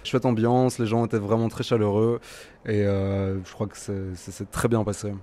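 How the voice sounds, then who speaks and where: A man's voice: on the low side, little pitch movement, normal speed, mellow. A young man in his twenties from Belgium, a musician answering festival interview questions with many hesitations and restarts. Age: 20s.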